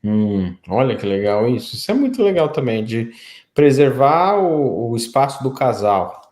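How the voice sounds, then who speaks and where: A middle-aged man with a Brazilian Portuguese accent speaks as an interview host in an echoey, roomy recording. His pitch is average and his delivery is casual and explanatory, like informal conversation. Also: very animated, normal speed, darkish tone.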